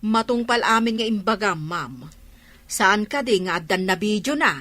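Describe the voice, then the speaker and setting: A woman's voice: medium pitch, animated, moderate pace, a bit bright, close to an everyday voice. A woman playing a respectful, concerned middle-aged housekeeper in a radio drama, with a Filipino accent and a hesitant manner. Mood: obliging. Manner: deferential, hesitant.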